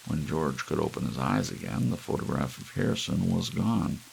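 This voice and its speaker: A man with a deep, heavily creaky voice reads a story in ASMR fashion. His intonation rises and falls expressively. Soft rain and a faint hiss run behind him. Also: animated, moderate pace, low-quality recording. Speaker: audiobook narrator. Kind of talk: relaxed ASMR story narration.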